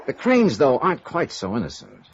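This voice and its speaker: A man's voice: medium pitch, huge pitch swings, moderate pace, noisy background. A man acting in a vintage radio noir drama as a cool, sardonic investigator with a dry, needling, self-assured manner. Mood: cool, knowing. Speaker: radio detective lead. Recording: muffled, echoey vintage radio recording.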